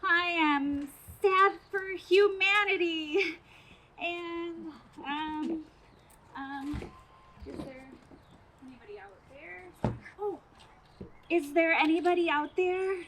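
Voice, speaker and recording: A woman's voice: extremely high pitch, slow, pauses often. A woman playing an earnest, awkward scientist giving a hesitant eyewitness report in a radio play parody. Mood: forlorn, hesitant. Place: outdoor community theater stage in parking lot. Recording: outdoor stage recording, faint noise.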